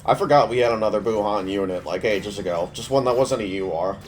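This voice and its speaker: A young man with a cold, his voice stuffy, giving relaxed, offhand commentary while playing a mobile game. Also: fairly low pitch, fast, a little hiss or hum. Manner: relaxed, offhand, thinking aloud. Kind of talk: casual gameplay commentary.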